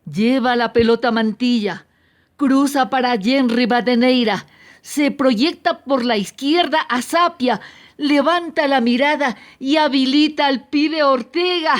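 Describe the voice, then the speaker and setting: An excited football commentator, acted out by a woman, pitched high and emphatic. The calls are stretched out in radio style. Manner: emphatic, drawn-out exclamations.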